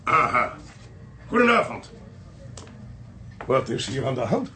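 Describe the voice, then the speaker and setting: A man's voice: mid-range voice, very animated, slow pace, background noise. A man playing an intrusive, theatrical character in a radio drama. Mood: inquisitive.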